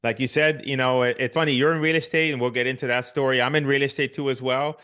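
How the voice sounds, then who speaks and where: A man's voice: medium pitch, fairly even intonation, quick pace, a bit bright, smooth. A middle-aged man from Puerto Rico, a podcast guest, telling his life story in casual, reflective talk with frequent fillers and restarts. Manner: reflective, many restarts and "you know" fillers.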